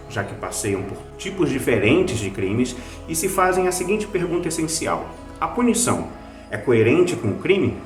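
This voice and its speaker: A Brazilian man presents like a video host reviewing something he has prepared. His intonation is animated and swings freely at a medium pitch. The room adds a noticeable echo around his voice. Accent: Brazilian Portuguese. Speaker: video host recommending podcasts. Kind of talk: conversational scripted review.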